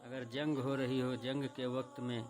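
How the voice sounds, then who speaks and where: A man's voice: medium pitch, level tone, normal speed. A man presenting a religious explainer, addressing viewers directly in an earnest, preacherly way.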